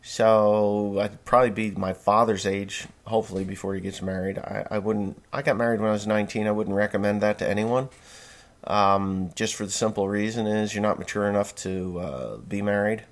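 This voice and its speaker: A man's voice: fairly low pitch, steady tone, normal speed. A man in his forties, from New Jersey, talking bluntly and folksily in a rambling monologue, with many fillers and restarts. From New Jersey, USA. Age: 40s. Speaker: podcast host.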